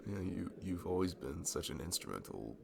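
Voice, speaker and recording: Shy young nerd in love male actor, low and gravelly, hesitant and rambling, ASMR roleplay over audible background noise. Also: sing-song, moderate pace, exaggerated voice. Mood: tender, nervous. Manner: rambling, hesitant, stammering, nervous laughs. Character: shy, giddy, nerdy young man, a longtime friend in love.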